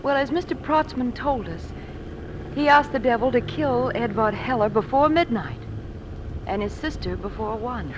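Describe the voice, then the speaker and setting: A woman's voice: on the high side, moderate pace, lightly acted. An actress playing an uneasy, inquisitive young lady in a 1940s radio drama, hesitant and questioning, on a noisy old recording. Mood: puzzled, uneasy.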